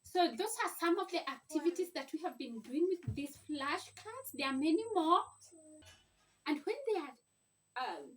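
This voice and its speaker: A woman's voice: sits high, expressive, moderate pace, a bit bright. A woman speaking as a mother and teacher in an informal instructional vlog, explaining things in simple phrases. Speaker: mother teaching her children to read. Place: at home. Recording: distant mic, faint background noise.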